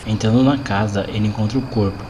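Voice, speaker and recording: A man's voice: on the low side, steady tone, fast, noisy background. A man with a Brazilian accent narrating a scripted horror story.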